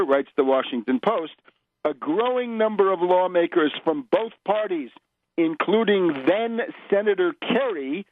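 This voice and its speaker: A man delivering an unscripted political radio monologue in a scornful, emphatic, opinionated manner, with halting, deliberate phrasing. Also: a little high. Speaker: political radio commentator and host. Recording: dull, lo-fi radio audio.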